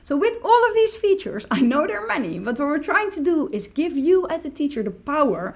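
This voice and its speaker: A woman in her thirties, a native Dutch speaker, explaining a software tool step by step in a screen-recorded tutorial from a small room. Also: sits high, very animated, fairly quick. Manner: explanatory, walks through clicks step by step. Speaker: teacher tool demonstrator.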